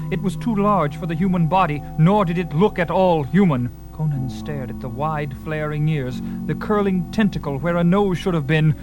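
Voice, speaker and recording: A man's voice: fairly high pitch, low-quality recording. A man narrating a fantasy adventure in a theatrical, suspenseful and emphatic style, with music behind him.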